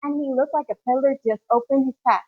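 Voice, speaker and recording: A woman's voice: high voice, steady tone, fast talker, breathy edge, no echo. A woman playing an eager child in a drama, playfully chiming in with recited lines, in muffled sound. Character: eager child of the Moore family. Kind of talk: short-film drama acting.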